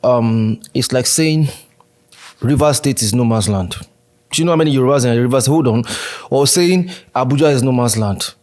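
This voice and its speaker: A man's voice: mid-range voice, moderate pace, a little mellow. A Nigerian man on a podcast, talking animatedly and often restarting his sentences. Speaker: podcast co-host. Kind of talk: casual discussion.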